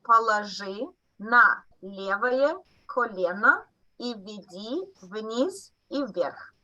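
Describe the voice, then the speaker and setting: A woman whose first language is Russian leads a lesson over a video call, taking her time with each phrase. Her voice is bright and slightly high, and the sound is dry and close. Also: expressive, dry recording, dull audio. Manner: slow, patient coaching with laughs. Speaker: physician teaching stroke exam phrases. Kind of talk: online teaching session. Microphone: computer mic on a video call.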